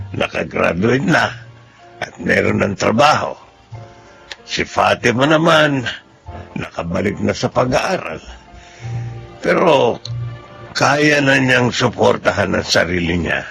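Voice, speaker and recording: A humble old Filipino grandfather in a radio drama. His voice is creaky and gravelly, grateful and gentle in manner. Music plays clearly behind him. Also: lowish voice, exaggerated voice. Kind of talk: Filipino radio drama acting and first-person narration.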